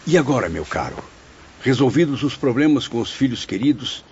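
An actor playing a kindly, pious, encouraging spirit brother in a radio soap, with gentle, formal Portuguese. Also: male voice, medium pitch, very animated, fairly quick, a little hiss or hum. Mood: consoling. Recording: dull lo-fi radio drama recording.

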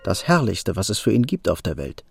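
An actor narrating a radio drama as a wry storyteller with a creaky voice. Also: male voice, deep voice, huge pitch swings, fairly quick, a little mellow, faint music behind, heavily acted. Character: wry male storyteller of a literary tale.

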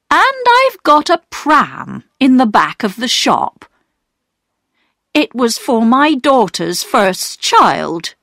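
A woman reading the part of a kind older woman shopkeeper and grandmother, speaking gently and slowly. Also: a little high, dry recording, studio quality, heavily acted.

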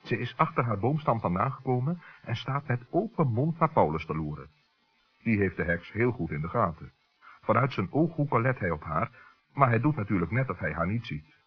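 A man narrating a children's radio play in a scripted storytelling style, with a husky voice. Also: on the low side, animated, moderate pace. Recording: dull lo-fi old radio recording.

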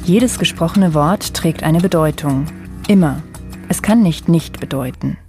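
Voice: medium pitch, unhurried, darkish tone, faint music behind. An announcer reading a scripted introduction to a radio programme.

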